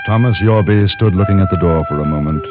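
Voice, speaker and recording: Casting: male actor as measured frontier narrator, very low voice, vintage radio recording over music. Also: phone-quality sound, lightly acted. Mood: somber. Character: composed, literate narrator of frontier tales. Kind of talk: scripted first-person radio narration. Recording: band-limited 1950s radio recording.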